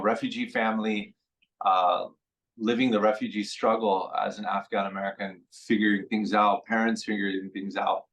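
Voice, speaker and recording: Low-voiced man, reflective and unhurried. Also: rough recording. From San Francisco Bay Area. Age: 40s. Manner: reflective, meandering, frequent fillers. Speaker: interview guest, musician. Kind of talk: conversational radio interview.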